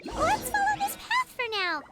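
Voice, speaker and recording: A woman voicing a small, excitable, easily worried fairy companion in a video game, with a squeaky childlike voice and an exclamatory manner. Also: unhurried, over music, heavily acted. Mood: curious, puzzled. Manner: refers to self in third person, exclamatory. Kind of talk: video game character dialogue.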